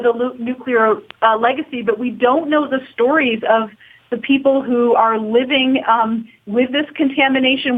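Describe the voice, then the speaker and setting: Thin, phone-like audio carries a woman's fairly high voice. She is a documentary producer being interviewed, explaining informally and a little hesitantly. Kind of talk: casual interview talk. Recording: thin, phone-like narrow band.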